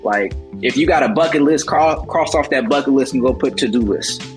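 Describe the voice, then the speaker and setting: A man's voice: medium pitch, brisk, faint music behind. A man in his twenties with an American accent, a guest in a casual interview, talking earnestly and motivationally with many filler words. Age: 20s. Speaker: guest, travel agency founder.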